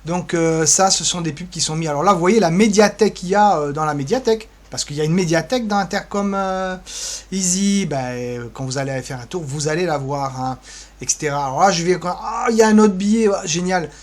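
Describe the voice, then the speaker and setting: High-voiced man rambling through a casual screen tour. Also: fairly quick. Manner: rambling, offhand, flippant, thinking aloud. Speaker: co-presenter giving a guided tour of social media pages. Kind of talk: casual improvised screen-share presentation.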